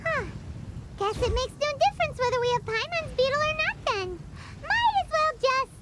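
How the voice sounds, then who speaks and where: Very high, cheeky little sprite. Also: female voice, sing-song, normal speed, very noisy. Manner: talks about herself in third person, teasing. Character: tiny sassy floating companion sprite, cheeky. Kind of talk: video game voice acting. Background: game music.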